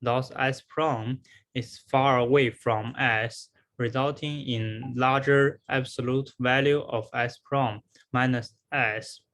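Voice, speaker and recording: A man's voice: medium pitch, fairly even intonation, slow, fairly bright tone. Man giving a scripted academic presentation. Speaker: researcher presenting a conference paper.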